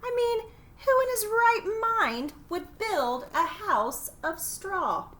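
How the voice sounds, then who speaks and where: A woman's voice: extremely high pitch, slow, fairly bright tone, voice pushed up, heavily acted. A woman reading a children's story aloud as a cheeky, self-pitying wolf, with folksy, indignant and exaggerated delivery. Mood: wry, matter-of-fact. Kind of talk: animated children's read-aloud.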